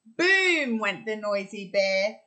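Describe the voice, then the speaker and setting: An Australian woman in her thirties reading a picture book aloud to children in an expressive, sing-song way. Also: medium pitch, soft background music. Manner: deliberate, sing-song storytelling delivery. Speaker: primary school teacher reading storytime. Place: home kitchen, indoors.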